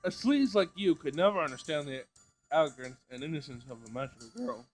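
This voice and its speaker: A man voicing a leering, excitable young male anime fan, eager and argumentative. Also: on the high side, a little fast. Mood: indignant. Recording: music behind the voice.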